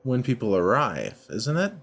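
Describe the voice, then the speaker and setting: A man's voice: mid-range voice, wildly swooping pitch, normal speed, husky. A man giving casual let's play commentary, thinking aloud and often questioning himself as he goes. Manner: musing, self-questioning. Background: background music.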